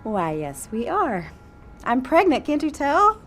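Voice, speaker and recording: A woman's voice: high-pitched, huge pitch swings, slow pace, fairly bright tone, faint music behind, full character voice. An actress playing a sweetly motherly pregnant wife, coaxing and full of endearments, in a naturalistic audio drama. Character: sweetly motherly pregnant wife, solicitous toward a teenage girl.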